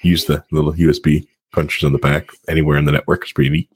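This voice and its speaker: A man's voice: extremely low pitch, level tone, quick pace, darkish tone, husky, no echo. A man hosting a tech talk show in casual banter, rambling and jokey, often laughing.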